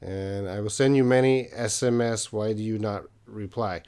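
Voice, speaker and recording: A man's voice: fairly low pitch, moderate pace, warm tone, clear, resonant tone. A man hosting a live-stream English Q&A, reading out viewer comments in a casual, hesitant way with many fillers. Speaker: host of English lessons.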